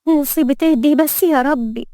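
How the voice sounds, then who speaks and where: A woman acting a flustered, high-pitched young Egyptian servant girl. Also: brisk, mellow, dry recording, clean studio sound. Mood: anxious, exasperated. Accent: Egyptian Arabic. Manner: muttering, exclaiming, flustered. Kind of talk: Egyptian Arabic audio story acting.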